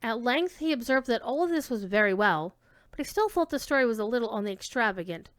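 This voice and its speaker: A woman reading old-fashioned literary prose aloud as a dramatic storyteller, with expressive, measured delivery. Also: a little high, clear, resonant tone, no echo, studio quality. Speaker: reader giving a dramatic reading.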